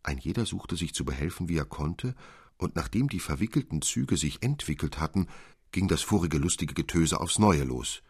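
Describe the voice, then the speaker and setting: A man narrating a literary fairy tale as an expressive storyteller. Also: sits low, normal speed, breathy edge, no echo, studio quality.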